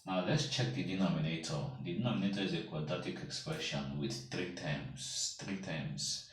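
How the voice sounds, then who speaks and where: Deep slow guy walking thru an algebra problem, patient, keeps asking if you see it, echoey room w mic far away. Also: a bit bright. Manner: patient, checks in with "okay?" and "can you see that?". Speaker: math tutor. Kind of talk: step-by-step math lesson.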